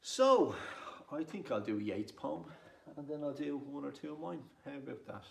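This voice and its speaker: A man's voice: fairly high pitch, expressive, normal speed. An Irish man from Dublin with a Dublin accent, chatting casually and self-deprecatingly as a poet introducing himself. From Dublin, Ireland. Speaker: poet and performer. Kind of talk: casual rambling introduction to camera. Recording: roomy echo with clear background noise.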